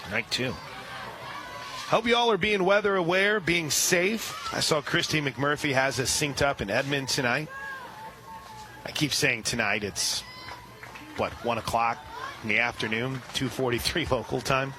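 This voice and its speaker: A man calling a softball game live on radio, steady between pitches and reading listener shout-outs. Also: unhurried, long pauses, over music. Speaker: radio play-by-play announcer. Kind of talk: live radio sports commentary.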